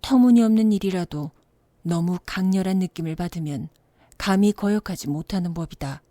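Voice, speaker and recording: Mellow, low-toned woman telling a bedtime story. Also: normal speed, no echo. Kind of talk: scripted bedtime story narration.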